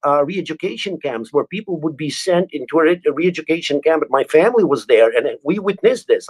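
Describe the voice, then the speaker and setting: A middle-aged man with an Albanian accent answers interview questions in an earnest tone. His voice sits a little high for a man. He often catches himself and restarts a word. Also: rough recording. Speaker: guest.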